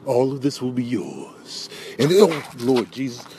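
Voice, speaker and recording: A man's voice: mid-range voice, very animated, measured pace, dark tone, slight background noise. A man voicing a regal, stoic father lion who solemnly guides his young son in a comedy animal dub. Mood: solemn, fatherly.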